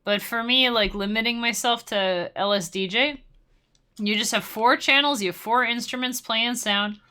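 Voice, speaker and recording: A woman's voice: on the high side, moderate pace, bright, forceful tone. A young woman casually teaching music-making on a live stream, rambling and self-deprecating with playful asides.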